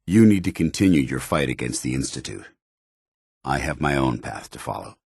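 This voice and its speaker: Honour-bound soldier android, very deep voice, slightly husky, tormented, earnest military diction, game dialogue. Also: male voice, lively intonation, moderate pace, darkish tone, no echo, clean studio sound, exaggerated voice. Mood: grave, resigned. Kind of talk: video game companion dialogue acting.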